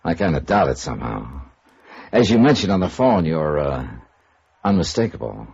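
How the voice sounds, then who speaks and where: An actor playing a wry, self-assured insurance investigator in 1950s radio noir, with a dry, needling, casual manner. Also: male voice, rumbling low, big pitch swings, normal speed, gravelly, a little mellow, husky, full character voice. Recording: dull noisy vintage radio recording.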